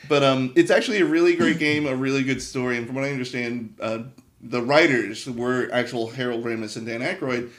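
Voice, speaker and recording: A man's voice: mid-range voice, fairly even intonation, brisk. A man co-hosting a casual discussion of games and movies, explaining things conversationally. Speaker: podcast co-host. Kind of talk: casual chat about video games and movies.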